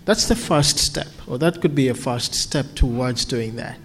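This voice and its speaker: A man's voice: medium pitch, a little slow, slight background noise. A man from Kenya, preaching earnestly and then answering questions, often repeating words as he restarts. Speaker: visiting preacher.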